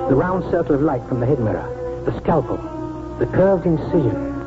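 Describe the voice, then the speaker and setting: An actor playing a wistful, aging village doctor in a 1940s radio drama, narrating in a measured, poetic way with deliberate pauses. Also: male voice, fairly high pitch, expressive, close to an everyday voice. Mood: grave, deliberate. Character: wistful aging village doctor remembering his lost young wife. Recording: tinny 1940s radio broadcast, loud music.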